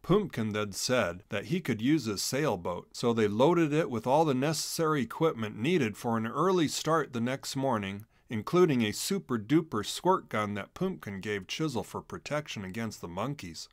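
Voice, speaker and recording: Animated male children's storybook narrator. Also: mid-range voice, moderate pace, hardly any pauses, dry recording, studio quality. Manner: animated, dramatic delivery. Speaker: storyteller reading a children's book. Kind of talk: expressive scripted narration for a read-along storybook.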